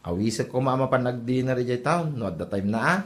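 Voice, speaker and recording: A man's voice: medium pitch, lively intonation, fairly quick, lightly acted. A man playing a polite, disappointed young suitor in an Ilocano comedy drama. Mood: let down.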